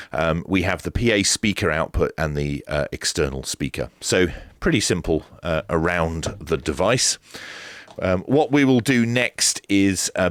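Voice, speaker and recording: A man's voice: deep voice, dry recording, clean studio sound. A man giving a casual, unscripted unboxing review, explaining things as he goes in a hesitant way. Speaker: radio gadget reviewer.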